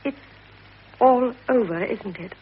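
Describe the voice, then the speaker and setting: A woman's voice: on the high side, takes it slowly, slight background noise. An actress with a British accent playing a timid, lovelorn young court lady, pleading earnestly and hesitantly in a 1950s radio drama. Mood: anguished, pleading. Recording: muffled 1950s radio recording.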